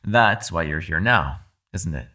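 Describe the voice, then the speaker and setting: A man playing a captive mind-controlling being in an ASMR roleplay, calm, shrewd and persuasive, speaking in a measured, formal, quietly condescending way. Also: deep voice, exaggerated voice. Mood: pointed, persuasive. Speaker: voice actor. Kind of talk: ASMR roleplay, dramatic monologue.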